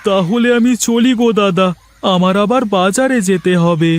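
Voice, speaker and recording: Man playing a high-pitched gossipy village neighbour. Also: normal speed, close to an everyday voice. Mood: friendly. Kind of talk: Bengali moral-story cartoon dubbing.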